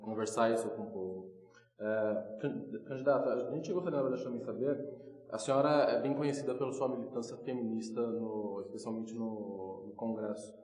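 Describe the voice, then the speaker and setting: A man's voice: lowish voice, moderate pace, darkish tone. A Brazilian man interviewing someone conversationally, with polite and hesitant phrasing. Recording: echoey room with long reverb.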